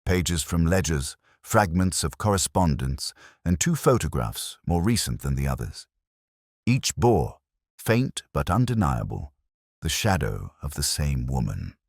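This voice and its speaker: A man narrating a detective story in a measured, solemn, literary style. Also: rumbling low, big pitch swings, vocal fry, dry recording, studio quality.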